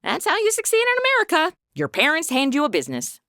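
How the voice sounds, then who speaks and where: Lady playing snarky modern girl angel, blunt, bright high voice, swoopy, comedy audio drama, dry studio sound. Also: wildly swooping pitch, fast, dry recording, exaggerated voice. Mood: wry, engaged. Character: flippant modern young female trainee angel, sceptical, sarcastic.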